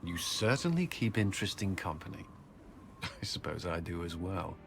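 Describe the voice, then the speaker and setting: A low-voiced, earnest soldier suitor. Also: male voice, lively intonation, moderate pace, gravelly, soft background music, exaggerated voice. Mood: concerned. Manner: sincere, hesitant, gently awkward. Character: earnest male soldier, former templar, devoted romantic suitor. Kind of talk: dramatic video game dialogue. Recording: noisy background ambience.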